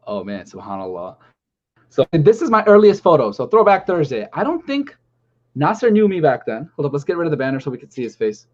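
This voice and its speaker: A man's voice: a little high, wildly swooping pitch, a little fast, a little mellow. A man hosting a casual chat on a video call, rambling and chatty. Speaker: stream host. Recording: lo-fi call audio.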